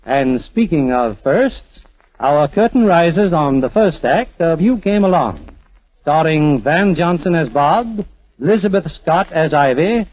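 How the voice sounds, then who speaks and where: A man's voice: a little high, a little mellow, dry recording. A man hosting a 1940s radio theater, reading scripted introductions and narration in a polished, formal, stately way. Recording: dull vintage 1940s radio recording.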